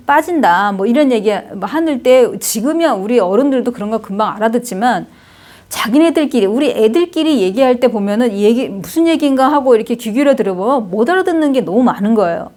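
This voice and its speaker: A woman's voice: fairly high pitch, a little mellow. A middle-aged woman giving a casual spoken lecture on Chinese characters, chatty, with many fillers and tag questions. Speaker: Hanja lecturer.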